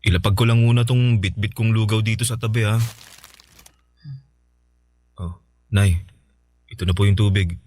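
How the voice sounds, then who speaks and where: A man playing a gentle, devoted adult son in a radio drama, respectful, coaxing and affectionate. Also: fairly low pitch, fairly even intonation, normal speed, long pauses, lightly acted. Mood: concerned, coaxing. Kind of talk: Tagalog radio drama acting.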